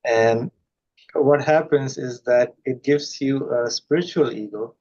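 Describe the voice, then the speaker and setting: A man from India, a guest, talking thoughtfully and reflectively with many pauses and fillers. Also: medium pitch, darkish tone, highs cut off. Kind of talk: reflective conversation.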